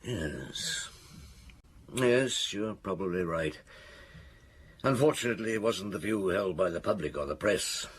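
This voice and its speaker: A seasoned detective in a radio drama, low-pitched and lively in intonation. He reflects on a case with deliberate, thoughtful authority. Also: husky, full character voice. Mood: reflective, regretful.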